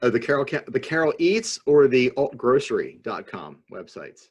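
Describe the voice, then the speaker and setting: Wry American male co-host with a rough-edged, husky tone and swooping intonation, needling friends casually. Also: medium pitch, sing-song, moderate pace. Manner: wry, teasing. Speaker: podcast co-host. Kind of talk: casual chat. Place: video call from lockdown. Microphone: video call microphone.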